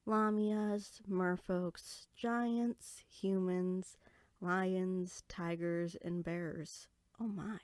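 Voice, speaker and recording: A woman playing a small, bookish, friendly fairy in a roleplay audio drama, speaking in a chatty, expressive, conversational way. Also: fairly low pitch, very slow, dry recording, clean studio sound. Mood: chatty, thoughtful.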